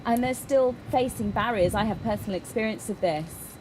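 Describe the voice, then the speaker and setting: Woman interpreting over clear background noise. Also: fairly high pitch, moderate pace. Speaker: interpreter voicing a Deaf signer. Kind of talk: live spoken interpretation of a personal statement.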